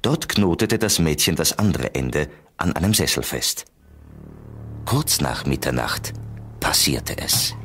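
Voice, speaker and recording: A man narrating a children's radio play as a calm storyteller, reading scripted prose. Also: a bass voice, unhurried, soft background music, exaggerated voice. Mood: calm storytelling.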